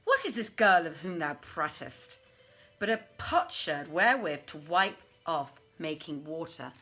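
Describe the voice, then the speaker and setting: A woman's voice: low-pitched, very animated, measured pace, sharp tone, soft background music, heavily acted. Lady doing a scornful jinn woman from an old tale, haughty, declaiming, voice swoops around. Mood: scornful outrage. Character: scornful, imperious female jinn in love.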